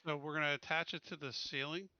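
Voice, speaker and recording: Bright, higher-voiced man, casual gamer. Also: fairly even intonation, fast, no echo, highs cut off. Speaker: player in a tabletop game. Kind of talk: casual chat.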